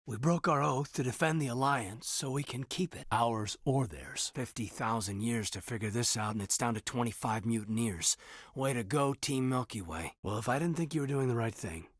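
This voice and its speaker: A man playing an earnest, reserved military officer in a sci-fi video game, sincere, thoughtful and dryly wry, with a slightly husky voice. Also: mid-range voice, moderate pace, no room sound, studio quality, lightly acted. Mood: wry, resolved. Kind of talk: video game dialogue acting.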